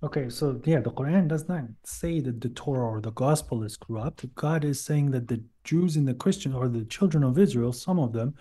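Male debate guest, very dark and soft-edged tone, mid pitch, explanatory and insistent in informal religious argument. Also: moderate pace, hardly any pauses. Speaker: debate guest defending Islam.

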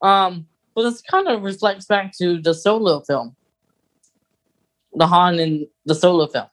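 This is a podcast co-host in relaxed conversation. The voice sits at a medium pitch. The delivery wanders and circles back to correct itself. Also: unhurried. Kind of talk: casual chat.

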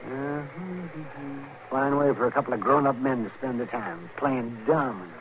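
An actor playing a crotchety, fussy frontier doctor who grumbles and exclaims in a 1950s radio western. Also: male voice, medium pitch, moderate pace, natural voice. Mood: grumbling. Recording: muffled, noisy vintage radio recording.

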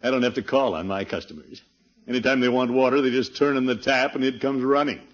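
A man's voice: medium pitch, quick pace, lightly acted. An actor playing a pompous, easily flustered middle-aged uncle in a 1950s radio sitcom, blustering and drawing out his words with nervous chuckles. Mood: complacent. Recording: muffled, noisy old radio recording.

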